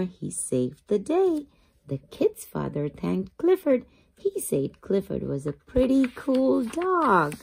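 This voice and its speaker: Female storyteller for young children, higher-pitched and lively, expressive read-aloud with stretched key words. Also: gravelly, breathy edge. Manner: expressive, drawn-out emphasis for young listeners. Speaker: children's book reader.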